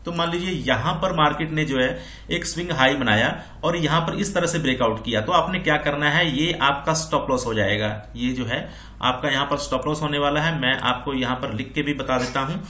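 Guy doing a screen tutorial, sharp bright voice, echoey room, keeps re-explaining stuff. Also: on the high side, fairly quick. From India. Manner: repetitive explaining, mixing in English terms. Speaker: stock trading instructor. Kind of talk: informal screen-share tutorial on chart patterns.

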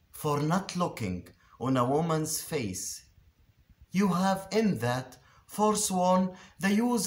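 A man reciting Shakespearean verse theatrically, declamatory and deliberate, with dramatic pauses and emphatic swells. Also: a little high. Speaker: actor reciting a Shakespeare monologue. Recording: roomy, echoing space.

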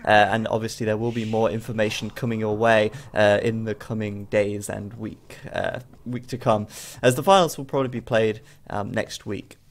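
A man's voice: fairly low pitch, fairly even intonation, normal speed. A young man with a cold and a stuffy nose, tired late at night, casting a strategy game match in rambling commentary full of fillers. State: bunged up with a cold. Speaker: game caster.

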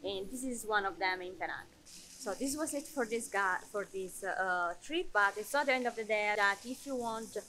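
Young woman travel vlogging, chatty, lots of ums and restarts, mid pitch. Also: moderate pace, bright, forceful tone, slight background noise, poor audio. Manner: chatty with many fillers and restarts. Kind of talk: casual vlog talk to camera.

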